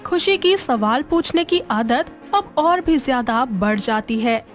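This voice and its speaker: Higher-pitched woman narrating a story. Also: expressive, a little slow, faint music behind. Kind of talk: scripted children's moral-story narration.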